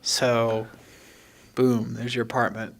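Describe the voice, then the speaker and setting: A man improvising narration as game master of a tabletop game, describing things in an informal, halting way. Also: mid-range voice, completely flat delivery, creaky.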